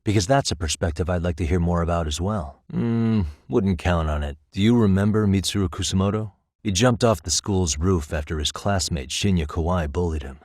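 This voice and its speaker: A man in his thirties voicing a calm, analytical private detective in a video game, speaking in a measured, conversational way. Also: deep voice, no room sound, studio quality. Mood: polite, probing. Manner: measured, methodical, reasoning aloud. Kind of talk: English video game voice acting, conversational dialogue.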